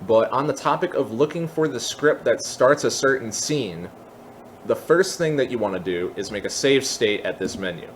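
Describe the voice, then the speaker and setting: A man hosting a casual software tutorial, explaining things step by step in an informal way. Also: mid-range voice, expressive, normal speed, slight background noise. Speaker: tutorial host.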